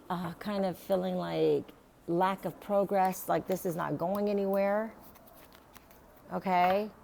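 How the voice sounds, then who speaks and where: A woman with a raspy, hoarse voice giving a casual tarot reading, rambling with many fillers and restarts. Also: on the low side, warm tone, slight background noise. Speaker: tarot reader.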